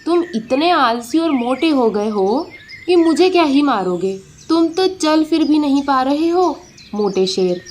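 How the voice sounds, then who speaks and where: A woman voicing a sly, quick-witted male fox in a children's animated story, with a wily, persuasive manner. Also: high-pitched, slight background noise. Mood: defiant, mocking.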